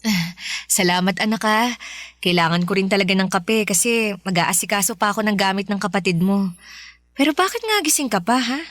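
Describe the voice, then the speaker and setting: A woman playing a gentle, protective mother who speaks reassuringly in a radio drama. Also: medium pitch, expressive, a little fast, no echo, close to an everyday voice. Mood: concerned, firm. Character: tender, protective widowed mother of two boys. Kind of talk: Filipino radio drama acting.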